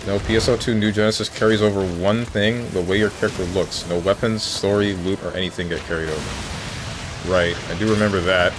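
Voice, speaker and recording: A man streaming a video game, chatting casually with his viewers and joking around, with bursts of mock excitement. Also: on the low side, a little fast, background noise. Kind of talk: casual live-stream chat while gaming. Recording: game music playing underneath.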